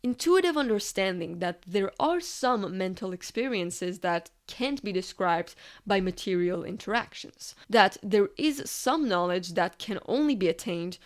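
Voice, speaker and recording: A woman's voice: medium pitch, expressive, unhurried. A woman narrating a scripted video essay in a conversational, explanatory way with casual asides.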